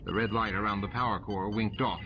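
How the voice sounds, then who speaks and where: A man's voice: on the low side, steady tone, brisk. A man reading scripted audiobook narration of a story. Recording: muffled audio under loud music.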